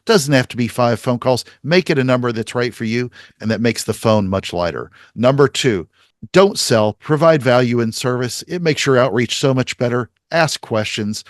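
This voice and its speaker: A man's voice: medium pitch, normal speed, no echo, clean studio sound. A man hosting a podcast, summing up advice in an upbeat, emphatic way. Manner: upbeat, emphatic, list-like summarizing. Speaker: podcast host. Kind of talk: podcast interview and recap.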